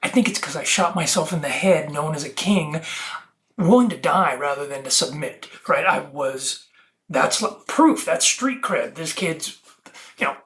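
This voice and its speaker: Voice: mid-range voice, unhurried, fairly bright tone. Preachy, earnest solo video host. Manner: preachy, earnest, emphatic wordplay on split-up words. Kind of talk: rambling spiritual monologue to viewers.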